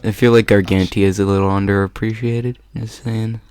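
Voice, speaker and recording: Young male, low register, casual joking podcast banter among a panel. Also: level tone, brisk, a little mellow, smooth. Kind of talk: casual joking group chat.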